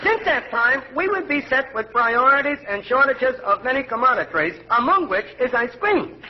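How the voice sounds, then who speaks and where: High-voiced, slangy young soda clerk. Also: male voice, big pitch swings, normal speed. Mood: pompous explaining. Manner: mangles words and spells them out, pseudo-formal. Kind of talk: 1940s radio comedy acting. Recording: noisy, dull old radio recording with clicks.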